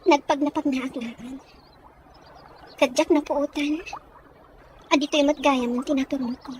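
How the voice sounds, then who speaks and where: A woman playing a wounded but resolute young woman in an audio drama, speaking in a measured, sorrowful manner. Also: sits high, fairly even intonation, a little mellow, slight background noise. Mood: hurt, firm. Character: wounded, resolute young woman rejecting her former sweetheart. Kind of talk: Ilocano audio drama acting.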